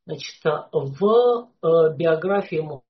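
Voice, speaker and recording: A lecturer speaks very slowly and deliberately at a middling pitch, stopping often to hunt for words with long "uh" fillers. The recording is dull and lo-fi. The delivery is unscripted and explanatory. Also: animated. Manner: hesitant, frequent "uh" fillers.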